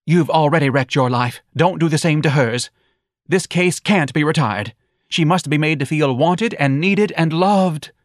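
A man's voice: a little high, measured pace, dry recording, clean studio sound, pitched above the performer's own voice, natural voice. A man voicing a self-righteous, meddling wife who scolds and pleads theatrically, in an audiobook story. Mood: pleading.

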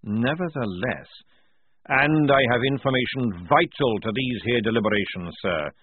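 A man voicing a gruff, loud old army sergeant who barks rough, forceful speech. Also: medium pitch, lively intonation, moderate pace, no room sound. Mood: roaring, defiant. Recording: dry, tinny phone-like sound.

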